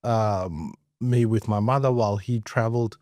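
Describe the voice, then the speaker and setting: A man's voice: lowish voice, normal speed, darkish tone, no room sound, clean studio sound. A middle-aged man with an Australian accent, telling his story casually as an interview guest. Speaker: interview guest, investment professional.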